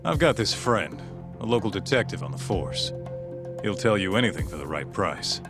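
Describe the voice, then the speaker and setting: A man's voice: lowish voice, sing-song, moderate pace, over music. A man playing a streetwise, casual fixer in a video game cutscene, blunt, slangy and offhand. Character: streetwise, casual male fixer, a savvy associate.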